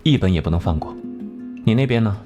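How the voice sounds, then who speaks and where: An actor playing a cool, sharp-witted detective in an animated crime drama, composed and articulate as he explains his reasoning. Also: on the low side, fast, music in the background, natural voice. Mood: analytical, calm.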